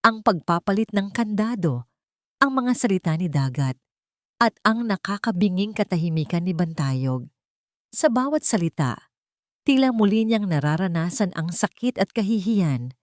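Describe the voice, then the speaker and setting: A woman's voice: lowish voice, animated, normal speed, darkish tone, no room sound, clean studio sound. A woman with a Filipino accent narrating a scripted dramatic story as an audiobook.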